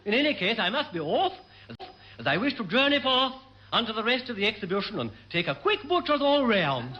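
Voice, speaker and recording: Very high-voiced, pompous genial padre. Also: male voice, sing-song, brisk. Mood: jovial. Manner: flowery, hesitant, chuckling, genteel. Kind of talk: classic British radio sitcom acting. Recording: noisy, dull old radio recording.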